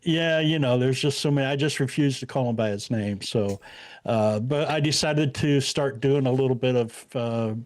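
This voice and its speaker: A man's voice: medium pitch, normal speed. A man in his sixties, a retired guest, talking about himself in an easygoing, rambling way with lots of fillers. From Indiana. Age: 60s. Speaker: podcast guest. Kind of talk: casual interview chat.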